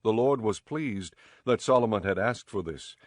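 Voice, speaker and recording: A man narrating an audio Bible in a measured, formal storytelling style. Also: fairly low pitch, lively intonation, no room sound, studio quality.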